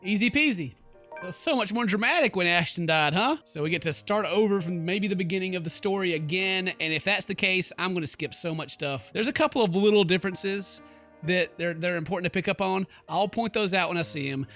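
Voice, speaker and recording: A man's voice: high-pitched, brisk, bright, forceful tone, soft background music. A man doing goofy, slangy let's play commentary, reading game text aloud with theatrical exclamations. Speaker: gaming YouTuber.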